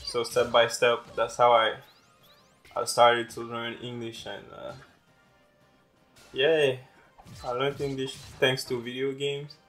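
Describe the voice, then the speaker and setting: A man's voice: medium pitch, completely flat delivery, takes it slowly, long pauses, fairly bright tone, faint music behind. A young man whose first language is French, chatting casually and rambling over gameplay as host of a gaming channel. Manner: chatty, rambling, repeats words.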